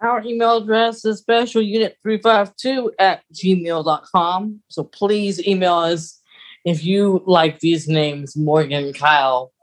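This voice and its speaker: A woman's voice: on the low side, fairly even intonation, slow pace. A woman co-hosting a podcast, chatting casually in a playful, giggly way. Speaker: podcast co-host. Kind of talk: casual chat about a radio drama.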